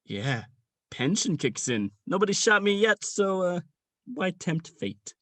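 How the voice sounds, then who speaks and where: A young man acting in a comic radio play as a gruff, jaded old police sergeant, crude and rambling in his slangy talk. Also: high-pitched, sing-song, a little slow, fairly bright tone, no echo, heavily acted. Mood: rambling, nonchalant. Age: young adult. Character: gruff, jaded veteran male police sergeant near retirement.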